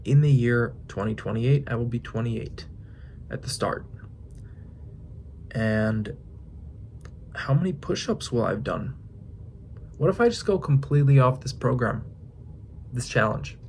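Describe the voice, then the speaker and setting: A man in his twenties talking through his thoughts in a casual vlog, musing with pauses and restarts. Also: medium pitch, lively intonation, gravelly, a little mellow, a little hiss or hum. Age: 20s. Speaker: fitness vlogger. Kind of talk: reflective casual vlog monologue.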